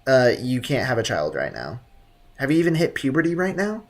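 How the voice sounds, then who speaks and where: A man's voice: mid-range voice, huge pitch swings, a little fast. A man hosting a casual game livestream, commenting and reading chat with blunt, teasing banter. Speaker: livestream host.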